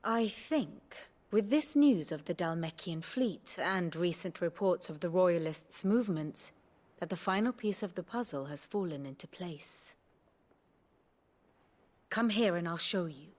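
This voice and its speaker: An actress playing a shrewd, composed war strategist in a fantasy game, with a scholarly, eloquent, measured manner. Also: female voice, on the low side, animated, darkish tone. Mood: analytical. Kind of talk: theatrical fantasy game dialogue.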